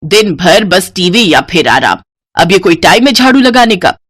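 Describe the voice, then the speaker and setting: A woman's voice: lowish voice, sing-song, normal speed, a bit bright, husky, heavily acted. A woman voicing a middle-aged mother-in-law in a moral story, bossy, nagging and easily fooled. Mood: scolding. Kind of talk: acted dialogue in a Hindi moral story.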